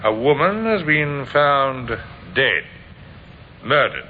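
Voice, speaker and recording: An actor playing a courteous, probing police inspector in an old radio drama, with hesitant, polite questioning. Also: male voice, mid-range voice, sing-song, slow. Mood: probing, grave. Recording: tinny, noisy old radio recording.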